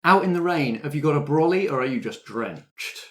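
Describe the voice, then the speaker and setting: A game master narrating a tabletop roleplay in a measured, wry manner. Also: medium pitch, lively intonation, a bit bright.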